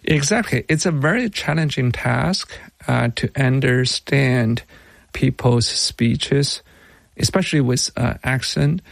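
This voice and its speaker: A middle-aged man with a Chinese accent gives explanatory interview answers in a heavily creaky voice. He speaks slowly and phrases things in a halting, deliberate way, with a close, dry sound. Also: mid-range voice, no echo. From Beijing, China. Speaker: tech company founder being interviewed.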